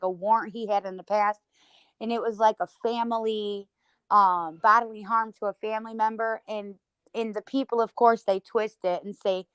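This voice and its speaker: Woman, high-ish voice, emphatic casual livestream chatter, close dry sound. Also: clear, resonant tone, no room sound, rough recording. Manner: emphatic, conversational, peppered with "you know" and "girl".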